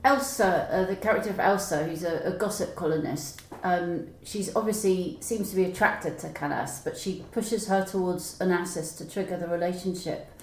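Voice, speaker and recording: A woman's voice: on the low side, moderate pace, few pauses. A woman interviewer asking in a conversational, somewhat hesitant manner. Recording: echoey, distant mic, faint noise.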